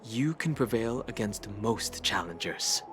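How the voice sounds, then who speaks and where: A man voicing a calm, reserved young warrior mentor in an anime-style video game. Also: lowish voice, measured pace, soft background music. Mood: composed, reassuring. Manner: composed, encouraging.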